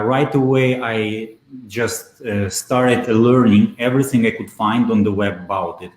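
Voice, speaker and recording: A man with a mid-range voice, answering interview questions over a video call. He talks in a steady, measured way and often pauses on "uh". The sound carries a clear roomy echo. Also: mid-range voice. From Belarus. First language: Russian. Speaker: guest, SEO consultant.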